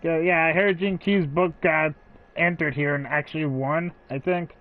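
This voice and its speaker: A man streaming a game playthrough, chatting casually and excitably, stumbling over his words. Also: a little high, moderate pace, narrow, tinny audio. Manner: excitable, stammering, jokey. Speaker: gaming streamer.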